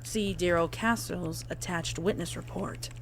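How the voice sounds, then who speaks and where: A woman reading a scripted report in a formal, measured way as a narrator. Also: fairly low pitch, noisy background. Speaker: narrator of a horror fan fiction reading.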